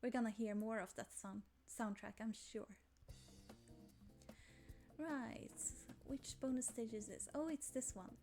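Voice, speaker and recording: A woman's voice: a little high, measured pace, pauses often, warm tone. A young Swedish woman with a Swedish accent, chatting and rambling over a game she plays, often apologizing and squealing over cute things. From Sweden. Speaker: gaming YouTuber. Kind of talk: casual let's play commentary. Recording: faint game music behind.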